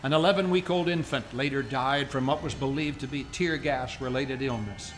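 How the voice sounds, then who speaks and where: A man narrating a radio play in a measured, grave, scripted documentary style. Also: medium pitch.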